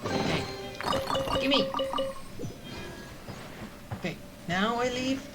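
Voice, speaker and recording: Lively casual gaming streamer. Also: mid-range voice, drawn-out words, over loud music. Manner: joking, muttering asides to game. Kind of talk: casual playthrough commentary.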